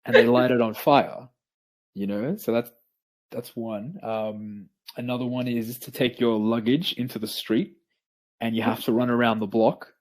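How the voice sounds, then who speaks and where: A man interviewing a guest in a friendly, casual way, pausing often with ums and restarts. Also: medium pitch, steady tone. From Peru, raised in Australia.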